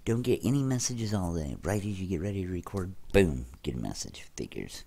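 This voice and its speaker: A man's voice: sits low, big pitch swings, fairly quick, gravelly, mellow, breathy edge. A young man hosting a let's play, chatting casually with viewers while sounding stuffy and congested. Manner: chatty, addresses viewers directly. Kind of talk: casual gameplay commentary.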